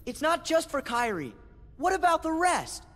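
A man's voice: very high voice, sing-song, moderate pace, bright, forceful tone, big-room sound, exaggerated voice. A man playing an earnest, stubborn, loyal teenage boy hero pleading in a video game. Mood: insistent pleading. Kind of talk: video game cutscene acting.

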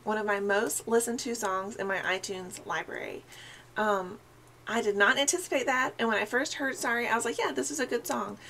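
A woman's voice: mid-range voice, creaky, fairly bright tone. A young woman with a scratchy, slightly nasal voice from a sinus infection chats casually to camera in a small bedroom, saying um often. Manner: chatty, friendly, frequent ums. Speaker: music reaction vlogger. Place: small decorated bedroom, indoors.

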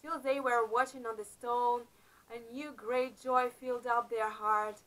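Bright, high-voiced woman telling a faith story. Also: fairly even intonation, poor audio. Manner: earnest, slightly halting phrasing with uneven emphasis. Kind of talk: narrating a Bible-themed adventure story. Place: small indoor room before a painted backdrop. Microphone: camera close up in front of her.

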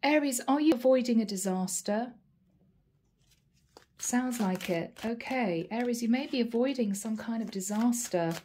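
A woman reading tarot cards at home and talking casually to her viewers, her voice a little hoarse from a long day. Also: fairly high pitch, unhurried, slight background noise. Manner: chatty, warm address to viewers, frequent "guys" and "okay". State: slightly hoarse, losing her voice. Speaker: tarot reader. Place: indoor home room, table with plants.

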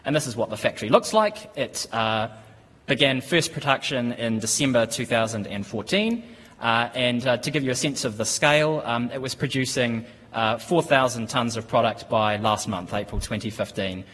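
A man in his thirties with a New Zealand accent gives a conference presentation at a lectern, speaking in a measured, steady way with frequent ums and restarts. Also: medium pitch. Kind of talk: business presentation. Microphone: lectern microphone. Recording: conference hall with long reverb.

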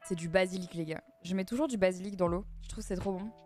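A young woman from France, chatting casually with her live viewers and answering their chat messages, speaking into a boom mic in a small room. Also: quick pace, a little mellow, soft background music. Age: young adult. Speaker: streamer. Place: small dim room, indoors. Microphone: studio mic on boom arm, headset on.

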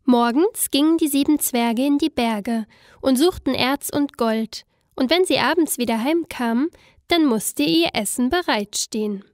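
High-voiced, unhurried woman storyteller. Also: dry recording, clean studio sound. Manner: careful storytelling phrasing. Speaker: narrator. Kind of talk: expressive scripted fairy-tale narration.

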